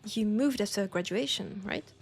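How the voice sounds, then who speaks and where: A woman with a French accent acting a nostalgic, playful old school friend in an intimate, casual audio roleplay, with an unhurried, teasing manner. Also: mid-range voice, gravelly, faint music behind, lightly acted. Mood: curious, friendly. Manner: unhurried, teasing, breaking into soft laughs.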